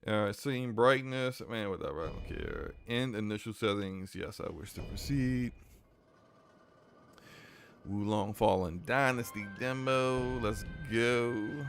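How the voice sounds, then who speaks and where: A man with a deep voice chatting casually on a live game stream, flustered as he talks himself through tech trouble. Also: slow, soft background music.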